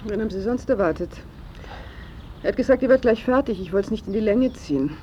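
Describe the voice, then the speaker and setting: An actress playing a cool, controlling daughter with a dry, ironic, businesslike manner in a radio drama. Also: female voice, mid-range voice, animated, fast, mellow, muffled recording, a little hiss or hum, lightly acted. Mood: brisk, cool. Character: cool, sardonic, controlling daughter of an old painter. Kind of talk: naturalistic radio drama acting.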